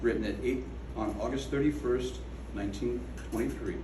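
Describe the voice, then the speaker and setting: A man reading his own poem aloud at a gallery event, slow and measured, with falling line endings. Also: medium pitch, background noise. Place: art gallery room. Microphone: away from the microphone. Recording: echoey room from a distance.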